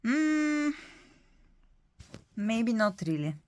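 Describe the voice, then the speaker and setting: A woman's voice: a little high, big pitch swings, very slow, a little mellow. A woman in her thirties with a Bulgarian accent, chatting casually about her doll collection, with many hesitant fillers. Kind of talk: casual hobby vlog narration.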